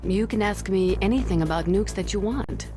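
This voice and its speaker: An actress playing an earnest, formal female military analyst who speaks in a measured, serious way in a video game. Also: mid-range voice, music in the background, natural voice. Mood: formal, composed. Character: earnest, resolute female nuclear weapons analyst. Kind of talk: dramatic video game voice acting.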